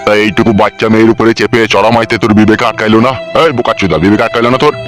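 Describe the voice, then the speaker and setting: Stern cartoon village elder played by a man, mid-pitched, quick-fire, scolding and coarse, with music underneath. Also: steady tone, close to an everyday voice. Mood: angry. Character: stern, foul-mouthed village elder judging a wrongdoer. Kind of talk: cartoon voice acting.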